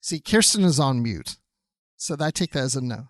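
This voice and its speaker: A man's voice: mid-range voice, very animated, normal speed, no echo, studio quality. A man hosting a podcast, putting questions to guests in a casual conversational style. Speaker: podcast host. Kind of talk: casual interviewing.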